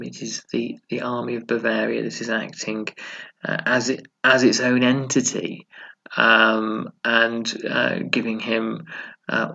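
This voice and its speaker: A man hosting a history podcast, talking through events in an unscripted, explanatory way and pausing often to find his words. His voice sits at an ordinary middle pitch. The recording sounds a little dull and lo-fi. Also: vocal fry, fairly bright tone, breathy edge. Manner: thinking aloud, explanatory, halting with many pauses. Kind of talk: unscripted history lecture with read-out passages.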